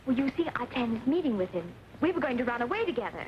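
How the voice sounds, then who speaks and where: An actress playing a loyal, spirited young colonel's daughter, earnest and proper, in old western film style. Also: female voice, fairly high pitch, big pitch swings, fast talker, slight background noise. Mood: evasive, dramatic. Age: young adult. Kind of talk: 1930s western film acting. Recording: dull old film soundtrack.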